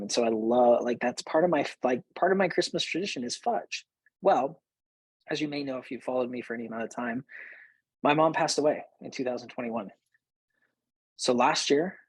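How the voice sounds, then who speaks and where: Male host, late thirties, slightly husky and close-recorded, conversational storyteller with emphatic repeats. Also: fairly high pitch, dry recording, rough recording. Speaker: host, business coach. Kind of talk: personal storytelling to listeners.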